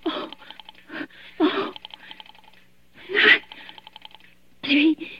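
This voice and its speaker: An actress playing a nervous, demanding, self-pitying invalid wife in 1940s radio drama style, stammering and breathless with fear. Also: female voice, very high-pitched, drawn-out words, a little hiss or hum, full character voice. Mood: despairing. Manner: stammering, breathless, imperious and pleading. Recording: muffled old-time radio recording.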